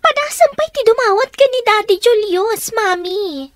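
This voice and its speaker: Spoiled young daughter, extremely high voice, whiny, melodramatic radio acting, dry booth sound. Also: female voice, animated, normal speed, no echo. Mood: whining. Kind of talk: Ilocano radio drama acting.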